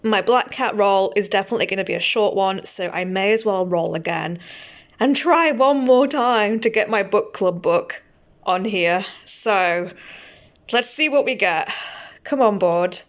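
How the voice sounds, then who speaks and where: A young woman, her voice a little croaky from a cold, chatting casually and rambling through a book wrap-up. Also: mid-range voice, a little slow. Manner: chatty, rambling, lots of "um" and "I think". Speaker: book vlogger.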